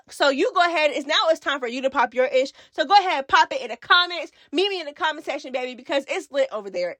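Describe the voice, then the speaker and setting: Casting: female recap vlogger, high-pitched, sassy and opinionated, slangy delivery, very dry recording. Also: a bit bright, no room sound. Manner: sassy, slangy, emphatic repetition. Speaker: YouTube reality TV recap host. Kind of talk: casual opinionated commentary.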